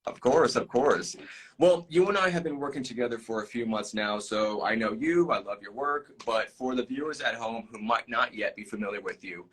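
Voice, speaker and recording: A man's voice: mid-range voice, moderate pace, a bit bright, husky. A man hosting a live-stream interview, upbeat and enthusiastic, laughing often. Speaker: live show host.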